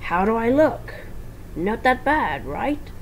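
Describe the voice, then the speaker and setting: A woman's voice: on the high side, big pitch swings, slow pace, a little hiss or hum, voice pushed up, full character voice, poor audio. A woman voicing a boisterous but nervous male animatronic fox, exclaiming eagerly in a fan story reading. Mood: proud.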